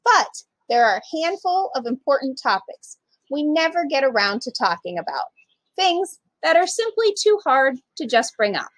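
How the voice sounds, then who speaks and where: A woman's voice: sits high, big pitch swings, moderate pace, no echo, rough recording. A woman reading aloud to young children as a storytime reader, speaking to them directly in simple, child-directed phrasing.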